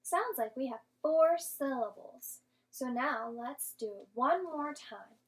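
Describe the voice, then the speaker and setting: A woman teaching young children through an interactive read-aloud, speaking in clear instructional phrases. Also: sits high, expressive, slow. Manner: clear instructional phrasing, questioning, sounding out syllables. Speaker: primary school teacher.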